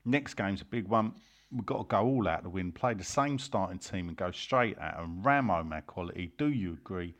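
A man's voice: low voice, lively intonation, normal speed. A man hosting casual football banter, teasing and blunt as he reads out listener messages. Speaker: host of a football fan podcast.

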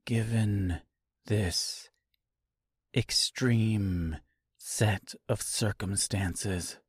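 A man voicing a wicked witch in the shape of an eerie girl, speaking with precise diction and calm, drawn-out menace in a storybook reading. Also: fairly low pitch, slightly rough, no room sound, studio quality, heavily acted. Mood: cold, menacing.

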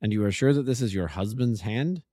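A man's voice: lowish voice, fairly quick, dark tone, no room sound, clean studio sound, close to an everyday voice. A man doing a cool, clipped Victorian detective. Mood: measured. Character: cool, lordly Victorian detective, middle-aged.

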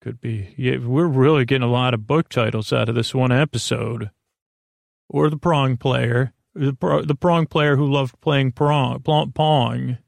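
A man with a heavily creaky, gravelly voice, recorded close and dry. He hosts a rambling bedtime podcast, improvising as he goes, halting often, circling back and leaving thoughts unfinished. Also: medium pitch, fairly even intonation, dry recording. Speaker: podcast host.

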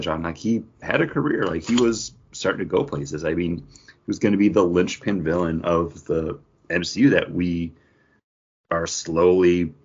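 A man co-hosting a pop-culture podcast, chatting casually in a husky voice. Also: low-pitched. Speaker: podcast co-host. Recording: dull, lo-fi podcast audio.

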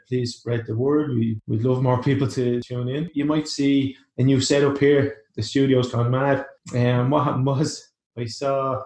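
A man's voice: mid-range voice, creaky, roomy sound. A young adult Irish man co-hosting a podcast in casual chat, laughing easily. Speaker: podcast co-host.

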